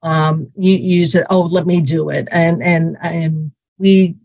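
Halting woman physical therapist, dry sound. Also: deep voice, fairly even intonation, creaky, a little mellow, dry recording, lo-fi sound. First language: Cantonese. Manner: halting, many fillers and restarts. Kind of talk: interview answers.

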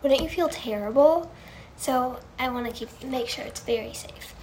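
A woman with a high, girlish voice chatting casually on a livestream, answering fan questions in a gushing, earnest way and often repeating herself. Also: moderate pace, a little mellow, slight background noise, rough recording.

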